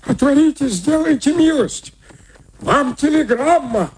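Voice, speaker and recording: An actor playing an urgent telegram messenger, calling out and pleading in a radio story. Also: male voice, very high-pitched, animated, a little slow, a little mellow, slight background noise, low-quality recording.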